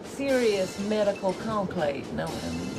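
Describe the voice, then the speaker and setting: A woman's voice: medium pitch, wildly swooping pitch, moderate pace. An actress playing a sassy, tough gangster woman in a radio play, slangy and teasing. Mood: suspicious, tough. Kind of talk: radio drama acting. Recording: radio play with music underneath.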